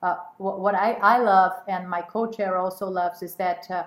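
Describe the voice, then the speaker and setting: A woman's voice: fairly low pitch, steady tone, moderate pace. Hesitant woman in an echoey room. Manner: hesitant, many fillers and restarts. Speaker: guest, women's network co-chair. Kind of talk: casual interview talk.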